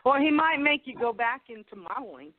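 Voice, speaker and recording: A woman's voice: high voice, very animated, fairly quick, dry recording, phone-quality sound. A woman co-hosting a casual interview, chatting and asking questions in a conversational way. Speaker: co-host interviewer.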